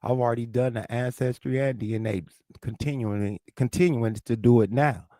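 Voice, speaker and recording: A man's voice: medium pitch, steady tone, normal speed, warm tone, dry recording, clean studio sound. A man from St. Louis with a lisp and a local accent, hosting a livestream and arguing with commenters in the chat in a profane, confrontational way. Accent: St. Louis, American South Midwest. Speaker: livestream host. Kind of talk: heated livestream rant, answering chat.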